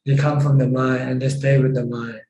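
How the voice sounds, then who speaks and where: A man with a Thai accent teaching as a Buddhist monk in an informal question-and-answer talk, halting and plain-spoken. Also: medium pitch, level tone, dark tone. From Thailand. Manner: halting, with pauses and repeated phrases. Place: video call from a roomy space. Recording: roomy distant mic with background noise.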